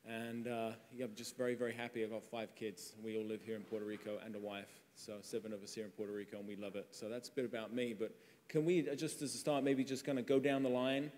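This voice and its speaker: Flat-toned English man in a reverberant hall. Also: fairly low pitch, fast. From England. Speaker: panel moderator and company CEO. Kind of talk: casual panel talk. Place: large conference hall. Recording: reverberant hall with faint ambience.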